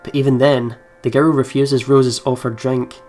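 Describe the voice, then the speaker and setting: Male game host, medium pitch, narrates visual novel text with casual asides, soft music underneath. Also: flat delivery, moderate pace. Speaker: let's play host. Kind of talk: reading game narration aloud with casual commentary.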